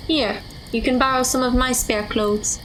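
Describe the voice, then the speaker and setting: A woman's voice: a little high, fairly even intonation, normal speed, noisy background, lightly acted. A woman playing a practical, caring teenage magical girl in an audio drama.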